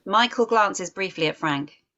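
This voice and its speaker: A woman narrating stage directions in a script table read, measured and descriptive. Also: mid-range voice, expressive, fairly bright tone, dull audio.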